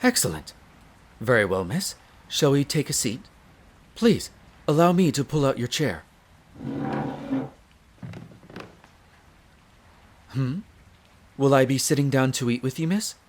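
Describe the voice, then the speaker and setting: A man's voice: mid-range voice, lively intonation, normal speed, long pauses, natural voice. A man playing a dutiful wolf butler in an audio roleplay, very formal and deferential, a little nervous. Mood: courteous, attentive. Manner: very formal, deferential, hesitant when flustered. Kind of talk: scripted audio roleplay acting.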